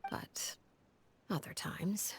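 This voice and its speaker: An actress playing a cold, composed woman who leads an orphanage in a video game, speaking softly and breathily in a measured, ominous way. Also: whispered. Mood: calm, grave. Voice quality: soft, breathy, husky. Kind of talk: scripted video game voice acting.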